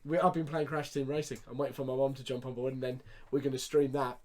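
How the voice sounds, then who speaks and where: A British man co-hosting a podcast, bantering casually and telling anecdotes in an animated way. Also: mid-range voice, fast talker. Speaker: podcast co-host.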